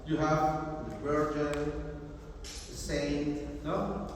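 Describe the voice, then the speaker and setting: A man with a high voice and a Peruvian Spanish accent gives an informal gallery talk. He speaks haltingly and often checks in with short tag questions. He is heard from a distance, with heavy echo around him. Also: flat delivery. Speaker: visual artist giving a gallery talk. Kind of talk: informal artist talk to an audience. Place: art museum gallery. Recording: echoey hall, distant mic, faint noise.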